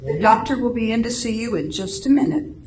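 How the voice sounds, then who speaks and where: Lilting woman acting as brisk clinic nurse. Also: a little high, lively intonation, darkish tone, lightly acted. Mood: businesslike. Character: businesslike female clinic nurse. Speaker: amateur radio drama actor. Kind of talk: live radio play reading. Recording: echoey room, faint background noise.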